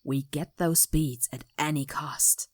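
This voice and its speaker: A woman as a ruthless fantasy bandit with a low, husky voice. She is hushed, intent and determined, in audio drama style. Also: fairly even intonation, takes it slowly.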